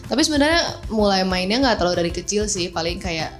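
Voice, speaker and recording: A woman's voice: medium pitch, fast, soft background music. A young woman with a Sundanese accent, a guest chatting casually and loosely on a radio show. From Bandung, West Java. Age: young adult. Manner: relaxed, chatty, uses lots of fillers. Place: radio studio.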